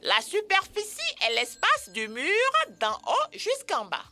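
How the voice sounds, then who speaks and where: A woman voicing a helpful but firm mother-bird teacher, expressive and instructive, in an educational cartoon dub. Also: very high voice, a little slow, full character voice.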